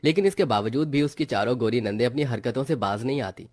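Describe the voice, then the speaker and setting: Casting: male narrator for animated folk-style family tales, medium pitch, scripted storytelling delivery. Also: quick pace, a little hiss or hum.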